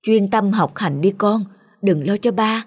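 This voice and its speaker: A man voicing a gentle, ailing father in a cartoon drama, weary, soothing and forbearing. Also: high voice, a little slow, slightly rough.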